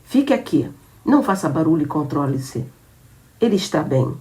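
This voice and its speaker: A woman reader voicing a calm, efficient man who reassures and gives firm instructions. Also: deep voice, normal speed, distant mic, low-quality recording.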